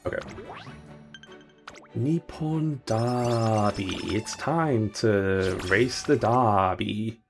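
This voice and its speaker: A man with a lowish voice talks his way through a game stream in a loose, rambling, joking way, often backtracking and fixing his words mid-sentence. Quiet music sits faintly underneath. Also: very slow. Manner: rambling, self-correcting, jokey. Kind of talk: casual game-stream commentary.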